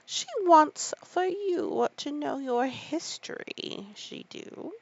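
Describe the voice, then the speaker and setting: Woman putting on a slow, creaky, bossy griffin. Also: high-pitched, muffled recording, voice pushed up, exaggerated voice. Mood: gruff. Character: gruff, bossy male griffin with uneducated rustic speech.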